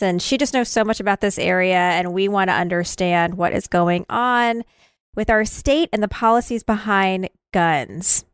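A woman's voice: mid-range voice, clean tone, dry recording, studio quality. A woman hosting a podcast in an informal, chatty and self-deprecating way. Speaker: podcast host. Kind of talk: chatty podcast intro and interview.

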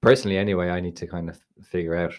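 A deep-voiced man co-hosts a podcast. He interviews in a casual, reflective style, working through his thoughts aloud. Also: fairly even intonation, very fast. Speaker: podcast co-host.

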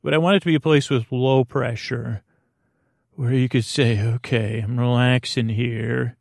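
Male sleep-podcast host: gravelly, lulling, halting delivery that wanders, recorded close and dry. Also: medium pitch, fairly even intonation, darkish tone, no echo. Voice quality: creaky. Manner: meandering, halting, repeats and trails off; soothing, lulling. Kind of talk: rambling bedtime sleep podcast intro.

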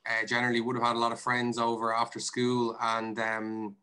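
A man with an Irish accent giving reflective, measured answers as a guest in a conversational interview. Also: mid-range voice, monotone, pushed and bright, smooth, rough recording. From Ireland. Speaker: podcast guest, investment and finance director.

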